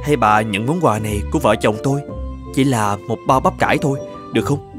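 A man voicing a humble village husband in an animated folktale, deferential and pleading in manner. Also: mid-range voice, a little fast, music in the background, lightly acted. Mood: grateful.

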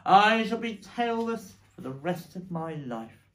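A storybook reader voicing a brave, determined father fox in an animated read-aloud. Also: medium pitch, unhurried, voice pushed up, lightly acted. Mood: glum.

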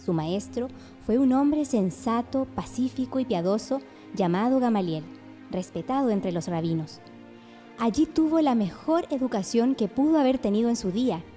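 Fairly high, mellow woman narrator. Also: animated, moderate pace. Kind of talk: scripted radio drama narration. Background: faint music.

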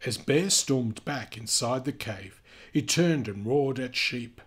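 A man reading a children's picture book aloud, gently and expressively, with chatty asides. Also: mid-range voice, animated, slow, breathy edge.

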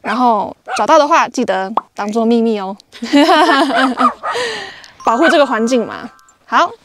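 A woman's voice: a little high, animated, takes it slowly, fairly bright tone, a little hiss or hum. A young woman casually chatting and explaining clues in a travel vlog, recorded outdoors in desert badlands with a lapel mic. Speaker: travel vlogger. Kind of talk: casual chat.

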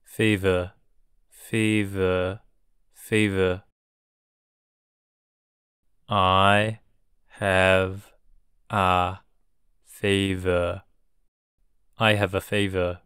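Very slow, low-voiced man drilling English. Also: flat delivery, fairly bright tone, clear, resonant tone, no room sound, studio quality. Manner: repeats each word three times, then word by word. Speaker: English teacher. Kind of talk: slow drilled vocabulary reading.

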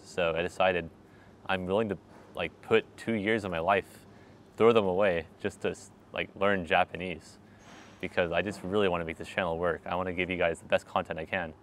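A young man in his twenties talking straight to the camera in a wry, animated vlog, recorded outdoors on a city street at night with a clip-on mic. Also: deep voice, slight background noise. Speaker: vlogger. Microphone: small clip-on mic on his shirt.

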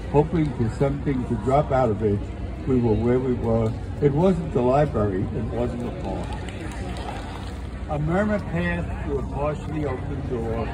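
A middle-aged man reading his poems aloud at a measured pace from an outdoor festival stage into a stand mic. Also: medium pitch. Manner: measured, deliberate recitation. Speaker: poet. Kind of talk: poetry reading. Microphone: stand mic, filmed from a distance. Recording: noisy outdoor festival crowd.

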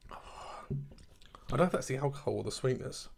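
Man with a gravelly voice. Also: medium pitch, a little fast, darkish tone, a little hiss or hum. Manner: chatty and rambling, laughs at himself. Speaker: beer reviewer. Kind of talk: casual chat to camera. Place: kitchen at home, indoors.

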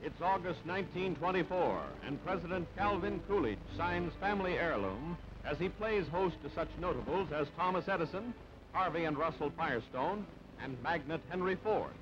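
A man's voice: a little high, expressive, normal speed. A man reading scripted newsreel narration in a clipped, punchy, dramatic way on an old soundtrack. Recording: dull band-limited old newsreel soundtrack. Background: music.

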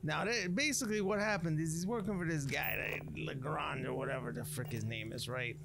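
A man's voice: on the high side, fairly quick, gravelly. A man voicing a brash wasteland chef who rambles in emphatic, slangy wise-guy talk as he recounts a legend over a video game. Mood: cocky, amused. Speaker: narrator of a roleplay series. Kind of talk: improvised in-character game commentary.

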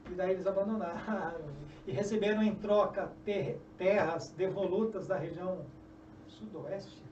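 A high-pitched middle-aged man with a Brazilian Portuguese accent tells a long story as an interview guest, lively and prone to restarting his sentences. He sounds set back from the mic in a roomy, echoey studio. Audible background noise sits under his voice. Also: a little slow. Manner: animated storyteller, gestures often, restarts phrases. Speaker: guest, journalist and author. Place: small indoor podcast studio. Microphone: desk mic on a stand. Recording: echoey, distant, dull, noisy.

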